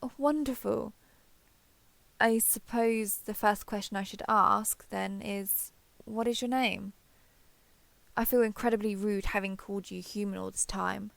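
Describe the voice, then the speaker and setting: A woman playing an ancient, proud female dragon in human form in an audio roleplay, speaking in formal, measured, archaic phrasing. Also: medium pitch, fairly even intonation, vocal fry, no room sound. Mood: warm, curious. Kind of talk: scripted audio roleplay acting.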